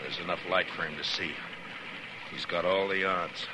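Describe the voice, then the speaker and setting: An American actor playing a steadfast, terse US marshal in a 1950s radio western, with measured, halting speech. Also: male voice, low voice, steady tone, close to an everyday voice. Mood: tense, grim. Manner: terse, measured, hesitant pauses. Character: steadfast, laconic US marshal of the Old West. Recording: noisy 1950s radio recording.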